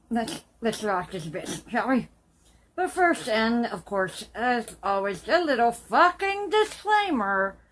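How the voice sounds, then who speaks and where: A woman's voice: on the high side, fairly bright tone, poor audio. A woman playing a slurring, foul-mouthed drunk aunt. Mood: brash. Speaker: sex health news reporter. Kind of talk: crude comedy news bit.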